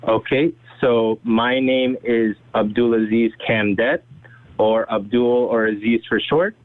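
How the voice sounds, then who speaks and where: Young man talking fast over a phone line. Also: mid-range voice, fairly even intonation, no room sound. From Saudi Arabia. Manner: fast, chatty, uses "right?" and "okay?" often. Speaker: guest presenter. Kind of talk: presenting on a conference call.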